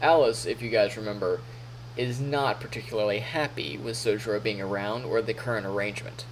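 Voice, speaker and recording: A man's voice: on the low side, brisk, a little hiss or hum, poor audio. A man live streaming, reading story narration aloud in a playful, theatrical way with casual asides.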